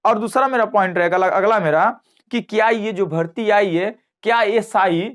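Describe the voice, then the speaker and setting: A man's voice: high voice, moderate pace, fairly bright tone. A man teaching an online exam lesson, repeating phrases and checking with short questions as he explains.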